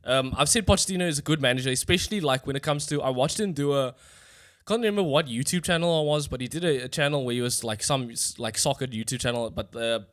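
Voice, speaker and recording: A man's voice: mid-range voice, fast talker, a bit bright. A man, co-hosting a casual football podcast in a laid-back, slangy, sweary way. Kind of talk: casual sports chat.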